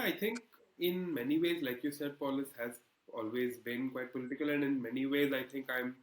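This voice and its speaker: A man talks through his editorial work in an interview, in a medium-pitched voice. His delivery is conversational and articulate, with a self-deprecating touch. The recording is noticeably echoey and roomy. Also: fairly bright tone. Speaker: politics editor being interviewed.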